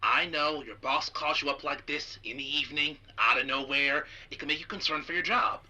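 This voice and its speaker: A woman's voice: low voice, normal speed, pushed and bright, a little hiss or hum, poor audio. A woman playing an over-reassuring boss soothing an employee in an audio drama. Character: placating, falsely reassuring boss on a phone call.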